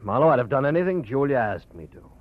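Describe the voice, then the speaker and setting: A blustering gangster boss. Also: male voice, mid-range voice, very animated, fast talker. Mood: wistful. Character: smooth male gangster boss, wary and bargaining. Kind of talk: 1950s radio drama acting. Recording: thin, phone-like old radio audio.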